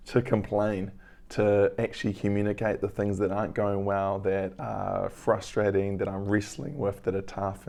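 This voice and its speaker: Hoarse man, crackly voice, throat clearing, lowish pitch, warm dark tone, earnest rambling vlog. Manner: earnest, reflective, rambling with fillers. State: hoarse, lost voice. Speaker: business owner vlogger. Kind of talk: casual weekly vlog update. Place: at home.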